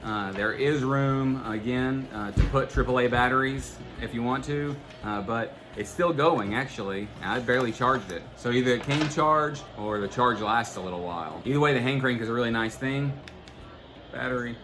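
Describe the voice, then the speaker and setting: A man giving a casual, unscripted product demonstration as a video host, halting and thinking aloud as he goes. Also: mid-range voice, background noise. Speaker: product reviewer and channel host.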